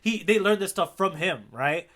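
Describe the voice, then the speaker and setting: A man with a slightly high, very bright voice talks as a podcast co-host. His delivery is casual and conversational. He comes across as an enthusiastic, appreciative fan. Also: lively intonation, moderate pace. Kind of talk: casual chat about a manga chapter.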